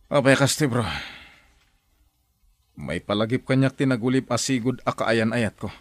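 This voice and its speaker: A man's voice: medium pitch, normal speed, dry recording, soft background music, lightly acted. An actor playing a bitter middle-aged boss who sighs and accuses his nanny, in a radio drama. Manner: sighing, resentful, raises voice.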